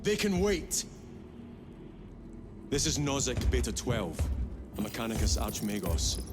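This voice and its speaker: An authoritative male captain of warriors in a video game cinematic. He commands in short, terse sentences with no softening. Music is clearly heard behind him. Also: medium pitch, big pitch swings, measured pace. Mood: urgent, commanding.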